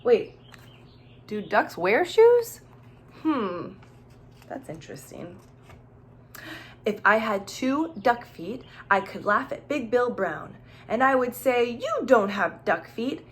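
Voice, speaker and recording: A woman's voice: fairly high pitch, big pitch swings, slow, pauses often, slight background noise. A woman teacher reading a children's storybook aloud, expressively, with questions and asides addressed straight to young listeners.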